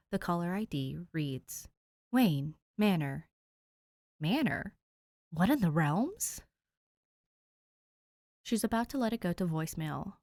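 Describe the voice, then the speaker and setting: A woman's voice: lowish voice, no echo. A woman reading a fan fiction story aloud, narrating expressively. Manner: expressive, voices characters. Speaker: fanfic podfic reader. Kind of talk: audiobook narration.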